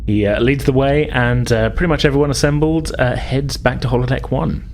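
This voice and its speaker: A man narrating a tabletop game as game master, improvising his descriptions in a halting, hesitant way. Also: medium pitch, fairly even intonation, darkish tone, soft background music.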